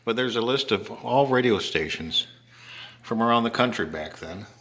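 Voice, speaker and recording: An older man with an American accent giving a casual, halting show-and-tell narration in short phrases. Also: mid-range voice, lively intonation, a bit bright. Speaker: home video maker showing an antique radio.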